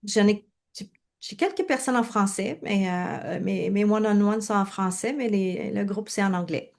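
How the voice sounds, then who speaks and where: A woman's voice: medium pitch, normal speed, gravelly, a little mellow. A woman from Quebec with a Quebec French accent, speaking casually as a coach being interviewed. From Quebec, Canada.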